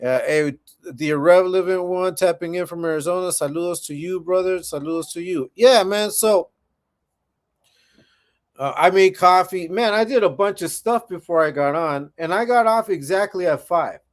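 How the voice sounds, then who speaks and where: A man's voice: sits high, normal speed. A man in his forties hosting a casual livestream, chatting laid-back with viewers and often repeating himself. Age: 40s. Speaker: livestream host.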